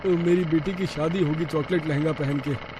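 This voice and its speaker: A man's voice: on the high side, steady tone, normal speed, background noise, natural voice. A man playing a humble middle-aged father, anxious and pleading, in a dramatic story. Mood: earnest. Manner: emotional, pleading. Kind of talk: dramatic Hindi animated story acting.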